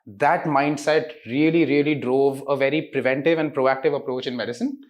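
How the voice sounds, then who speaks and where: A man's voice: mid-range voice, fairly even intonation, moderate pace. A man, giving articulate explanatory interview answers peppered with rhetorical questions. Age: 30s. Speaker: interviewed diagnostics company representative.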